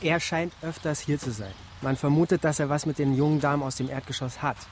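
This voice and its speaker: A man voices a crude, gossipy police officer who reports the neighbours' rumours. His voice is fairly high for a man. It comes from a radio crime drama. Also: a little fast, slight background noise, lightly acted.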